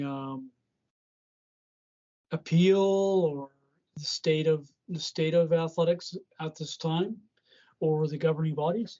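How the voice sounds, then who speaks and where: A North American man acts as the interviewer, speaking conversationally in a polite, halting manner. His voice comes through dull, lo-fi audio. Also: a little high, warm tone.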